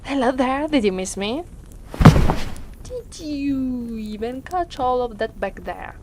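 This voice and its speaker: A woman's voice: sits high, animated, background noise. A woman with an Italian accent voicing a haughty, menacing female demon who taunts and condescends in an ASMR roleplay. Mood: commanding, haughty. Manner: condescending, drawn-out, taunting delivery.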